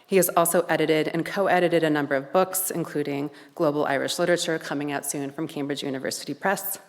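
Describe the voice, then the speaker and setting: A woman's voice: low voice, steady tone, fairly quick. A woman moderating a panel, formally introducing the next speaker from notes. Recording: distant echoey hall.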